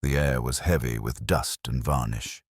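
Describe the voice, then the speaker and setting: A man narrating a dramatic mystery story in a measured, solemn manner with short, deliberate phrases. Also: extremely low pitch, steady tone, slightly rough, no echo, clean studio sound.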